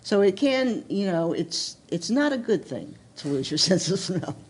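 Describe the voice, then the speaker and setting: A woman researcher answering a panel question in a careful, halting way, with fillers and restarts. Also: medium pitch, very animated, slightly rough. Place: hospital symposium hall.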